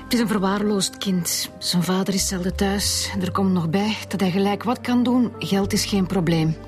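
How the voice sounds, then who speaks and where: A woman's voice: medium pitch, steady tone, normal speed, faint music behind, natural voice. A woman acting as a guarded, cynical Flemish maths teacher in a radio drama. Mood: blunt, hesitant. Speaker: actor in a radio serial.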